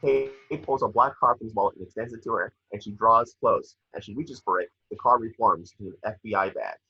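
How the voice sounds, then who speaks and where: A man's voice: on the low side, brisk, slightly rough. A man reading scene descriptions as scripted narration for an amateur table read, on a lo-fi microphone. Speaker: action description reader. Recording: dull, lo-fi microphone sound.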